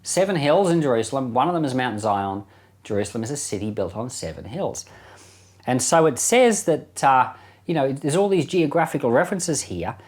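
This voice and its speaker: A man's voice: mid-range voice, darkish tone. A middle-aged man gives informal Bible commentary as a pastor, explaining things conversationally and repeating phrases for emphasis. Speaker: pastor and Bible podcast host.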